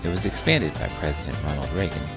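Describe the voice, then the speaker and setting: A man doing an expressive, scripted read-aloud of a children's book. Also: a bass voice, fast, vocal fry, loud music behind the voice. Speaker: teacher reading children's books aloud.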